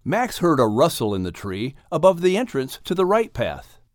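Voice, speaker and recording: A man narrating a children's audiobook in an expressive, dramatic and carefully phrased storytelling style. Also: a little high, normal speed, no room sound, studio quality.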